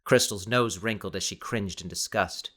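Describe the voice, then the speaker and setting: Measured male audiobook narrator. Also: on the low side, fairly bright tone. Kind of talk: scripted dramatic fiction narration.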